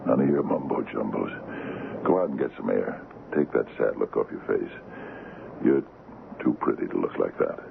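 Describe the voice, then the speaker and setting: Very deep, gravelly, ailing sea captain. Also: male voice, lively intonation, full character voice. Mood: stubborn, weary. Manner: halting, stammering protests. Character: weakened, stubborn, joking sea captain, sick in bed. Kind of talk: radio drama acting. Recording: noisy, tinny old radio broadcast.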